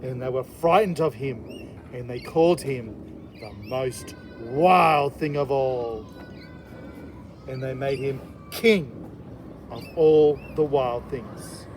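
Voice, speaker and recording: A man's voice: mid-range voice, takes it slowly, a little hiss or hum. A man reading a children's storybook aloud as a kindergarten teacher, dramatically stretching words for effect.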